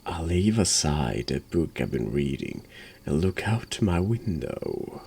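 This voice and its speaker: A man congested with a cold, hosting a horror game playthrough in a hushed ASMR style, halting and sometimes dropping into whispers. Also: a bass voice, sing-song, vocal fry, a little hiss or hum. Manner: slow, halting, drops into whispers. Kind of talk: hushed ASMR-style game commentary and read-aloud narration.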